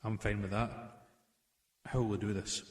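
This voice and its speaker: A young man playing a steady, supportive police constable sidekick in a mystery audio drama. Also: on the low side, normal speed, roomy sound, lightly acted. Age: young adult. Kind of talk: conversational audio drama acting.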